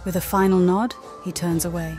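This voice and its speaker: A woman narrating a story game playthrough in a calm, even storybook manner, also voicing the player character. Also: on the low side, normal speed. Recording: game music under the voice.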